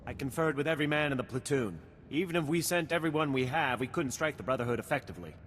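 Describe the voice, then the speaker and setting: A beleaguered outpost officer played by a male actor speaks seriously at a medium pitch. The game dialogue rings with long reverb over noisy ambience. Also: animated, fast, a bit bright, lightly acted. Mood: worried, urgent. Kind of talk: video game voice acting.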